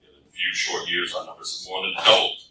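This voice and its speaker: A man's voice: low-pitched, little pitch movement, quick pace, very noisy, close to an everyday voice. An actor playing a proud, stern male gargoyle clan leader with a solemn, declamatory manner in a radio play. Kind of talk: radio play acting before a live audience. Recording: echoey hall fan recording from far off.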